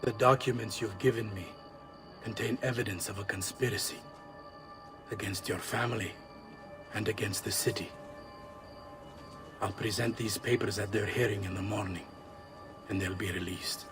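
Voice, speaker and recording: An actor playing a smooth-talking, paternal but duplicitous family friend with a measured, soothing manner. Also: male voice, on the low side, steady tone, music in the background, natural voice. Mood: reassuring.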